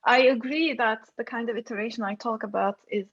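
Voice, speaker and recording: A woman's voice: fairly high pitch, a little fast, muffled recording. A woman on an academic panel, speaking thoughtfully and a little self-consciously over a video call.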